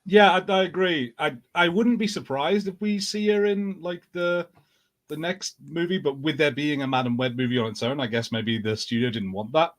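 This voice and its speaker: A man's voice: on the high side, normal speed. A man talking casually as a podcast co-host.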